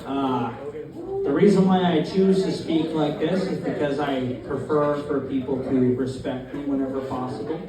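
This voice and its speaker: A young man from Newfoundland doing a first stand-up set in a deadpan, halting way, with many fillers. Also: mid-range voice. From Newfoundland, Canada. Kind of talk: open-mic stand-up comedy. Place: small comedy club, in front of a live crowd. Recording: very echoey club, distant mic, crowd. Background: audience laughter.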